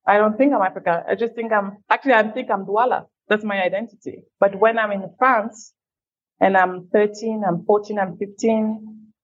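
A woman from Cameroon speaking as an interview guest at a middling pitch. She talks in a thoughtful, measured way, speeding up on side remarks. The audio sounds a little dull and lo-fi. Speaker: guest, feminist leader. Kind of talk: reflective interview answers.

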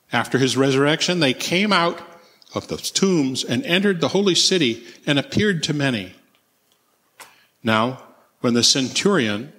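Slow, solemn male scripture reader in reverberant hall. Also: medium pitch, a bit bright. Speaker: narrator in a church scripture reading. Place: large reverberant church.

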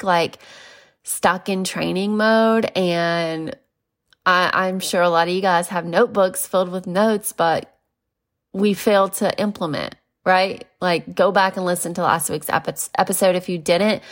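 A woman's voice: fairly low pitch, measured pace. A woman in her thirties hosting a casual solo podcast, chatty with lots of fillers and little laughs, her voice congested and nasal. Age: 30s. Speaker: podcast host.

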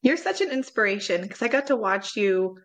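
A woman hosting a podcast, chatting casually and quick to praise her guest. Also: medium pitch, animated, low-quality recording. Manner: warmly encouraging, quick to praise. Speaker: podcast host.

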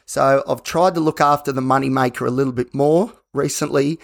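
An Australian man with a slightly croaky voice, hosting a solo podcast in a casual, candid, rambling way. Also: mid-range voice, steady tone, fairly quick. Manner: conversational, rambling, self-correcting. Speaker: podcast host.

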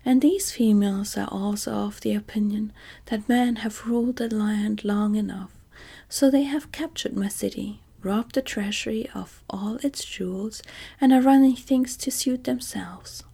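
A woman voicing a gentle, wise scarecrow king in a soft whisper. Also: mid-range voice, measured pace, gravelly, breathy edge. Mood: aggrieved.

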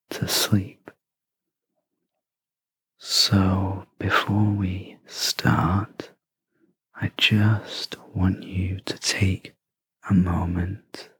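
A guide leading a sleep meditation in a breathy half-whisper, gentle and soothing, with long pauses between short phrases. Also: deep voice, no echo. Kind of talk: guided sleep meditation, soft scripted narration.

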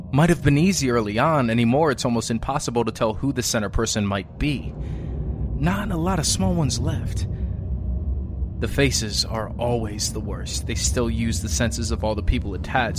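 A man playing a lonely, weary survivor broadcasting after an apocalypse in an audio drama, musing in a halting, understated, resigned way. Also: medium pitch, lightly acted. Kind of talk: audio fiction monologue. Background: music.

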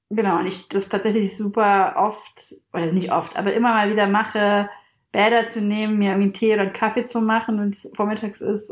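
A woman co-hosting a casual chat podcast, talking in a relaxed, teasing, colloquial way. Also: medium pitch, roomy sound. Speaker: podcast co-host.

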